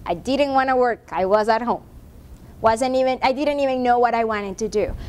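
Woman in her forties, high voice, workshop presenter, chatty, keeps asking "right?", classroom, far camera. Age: 40s. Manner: conversational, frequent "right?" checks with the audience. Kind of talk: presenting a professional development workshop. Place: classroom with a seated audience, projector screen. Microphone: far room camera.

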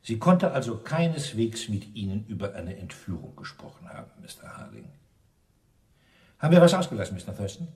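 An actor playing a dogged, sarcastic police inspector who questions in a probing, dry way, in radio crime drama style. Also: male voice, on the low side, sing-song, fairly quick, darkish tone, recorded from a distance. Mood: sardonic, pressing.

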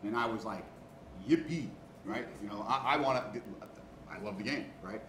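A man's voice: on the low side, moderate pace, a bit bright. A man in his fifties with a Queens, New York accent, giving a casual talk to students as a guest engineer and checking in often with the room. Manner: conversational, keeps checking in with "all right?" and "okay?". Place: large classroom, indoors. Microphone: far room camera. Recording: echoey classroom from far off, noisy.

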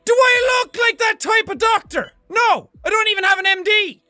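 A man's voice: extremely high pitch, very animated, a little slow, bright, forceful tone, faint music behind, heavily acted. A man playing a cocky, foul-mouthed, manic quack surgeon with a mock German accent, theatrical and erratic, in comedic video game commentary. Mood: frantic, outraged. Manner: theatrical, boastful, swearing, erratic outbursts.

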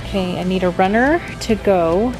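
A woman's voice: medium pitch, moderate pace, over music, poor audio. A middle-aged American woman chatting casually to viewers, informal and thinking aloud as she goes. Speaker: family vlogger.

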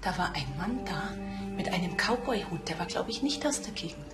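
A woman's voice: on the low side, lively intonation, a little fast, loud music behind the voice, lightly acted. Chatty salon owner played by a woman. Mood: gossipy. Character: chatty, eager female beauty salon owner. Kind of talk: TV crime comedy acting.

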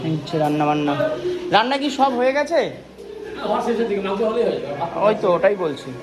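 A man's voice: high voice, very animated, normal speed, over a noisy crowd. A man chatting casually as he films a family vlog. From West Bengal, India. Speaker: vlogger. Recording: noisy on-location vlog audio.

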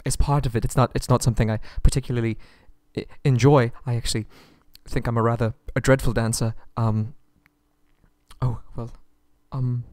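A man's voice: mid-range voice, fairly even intonation, normal speed, a little mellow, no echo, clean studio sound, close to an everyday voice. A man playing a nervous, submissive, polite young prince held captive, stammering and pleading in an ASMR roleplay audio drama. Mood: nervous, apologetic.

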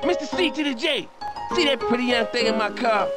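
A flamboyant sing-song street pimp. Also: male voice, very high voice, animated, fairly quick, loud music behind the voice. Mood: jaunty. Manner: slangy, sing-song jive talk. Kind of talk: video game cutscene acting.

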